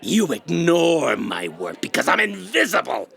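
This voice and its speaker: Embittered male villain, audio drama, melodramatic, forceful, very gravelly, husky. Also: a little high, huge pitch swings, measured pace, exaggerated voice. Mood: vengeful menace. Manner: melodramatic, grandiose. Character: bitter invisible male writer villain, theatrically unhinged.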